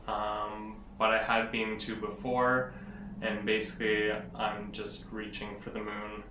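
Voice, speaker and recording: A young man speaking in a low, fairly flat voice. He talks earnestly and wanders as he searches for words. He sounds a little distant from the mic, in an echoey room with faint background noise. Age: 20s. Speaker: interviewee, blogger. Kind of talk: casual interview.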